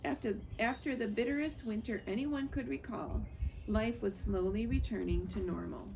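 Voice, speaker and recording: Higher-voiced woman reading a children's storybook. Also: moderate pace, vocal fry, a little mellow, a little hiss or hum, poor audio. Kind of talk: read-aloud narration of a children's novel.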